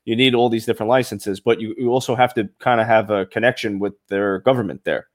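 A man's voice: on the low side, steady tone, fast, no room sound, clean studio sound. A man from Brooklyn with a Brooklyn accent, hosting a casual podcast chat in a laid-back, slangy way. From Brooklyn, USA.

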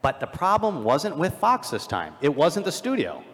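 A man's voice: a little high, huge pitch swings, moderate pace, fairly bright tone. A man's voice rings through a big, reverberant hall. He talks as a live panelist, improvising with a wry, declamatory delivery and joking asides.

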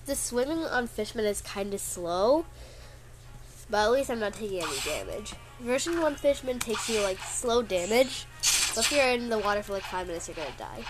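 Sniffly chatty girl over loud game music. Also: medium pitch, expressive, moderate pace, poor audio. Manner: rambling and chatty, mock-complaining playfully when hit. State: congested and sniffly. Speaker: gameplay video creator. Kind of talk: casual gameplay commentary.

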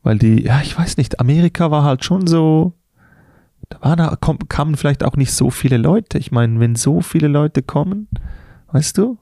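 A young man co-hosting a casual podcast, often pausing on filler sounds and laughing easily. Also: a little high, lively intonation, creaky, mellow, no room sound, clean studio sound. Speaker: podcast co-host. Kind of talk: casual chat.

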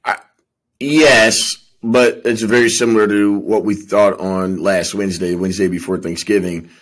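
A man hosting a casual sports discussion, speaking in a measured way with wry teasing. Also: on the low side, steady tone. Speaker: sports podcast host.

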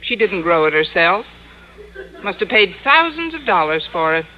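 A woman playing a wry, sharp-tongued schoolteacher in 1950s radio comedy, with a dry, deadpan delivery. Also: lowish voice, normal speed. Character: wry sharp-tongued schoolteacher, also posing as a rude French madame. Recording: dull noisy old radio recording.

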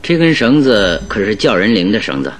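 A brisk, low-voiced probing detective. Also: male voice, very animated. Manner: probing, questioning, commanding. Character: brisk, inquisitive male detective, keenly observant. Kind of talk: radio drama acting.

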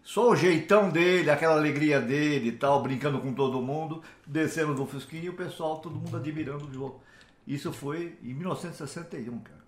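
A man's voice: a little high, quick pace. A man in his seventies with a Brazilian accent, reminiscing casually as a panelist and repeating phrases for emphasis. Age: 70s. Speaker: panelist on a talk show. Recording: roomy echo.

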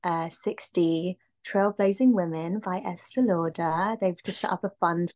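Guest singer, woman in her thirties, slightly low voice, thoughtful and rambling delivery. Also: steady tone, a little slow, darkish tone. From Filipina-Sri Lankan, raised in the UK. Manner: reflective, lots of "um" and "like". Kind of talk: casual interview conversation.

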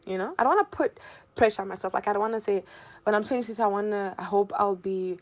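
A woman's voice: mid-range voice, quick pace, highs cut off. A woman in her early twenties with a Zimbabwean accent, chatting informally as an interview guest and often repeating phrases for emphasis. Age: early 20s. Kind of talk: casual conversational interview answers.

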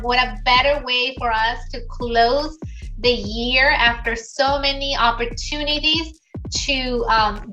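A woman's voice: on the high side, pushed and bright, recorded from a distance, rough recording. A middle-aged woman hosting an online session, reading a formal prepared welcome and then asking her guest questions. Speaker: host. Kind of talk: scripted introduction and interview questions.

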